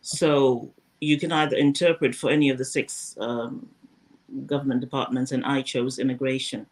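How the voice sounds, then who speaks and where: A woman, a former news presenter talking through her career at a thoughtful, measured pace in a casual interview. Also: extremely low pitch, steady tone, a little mellow, rough recording. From Zimbabwe.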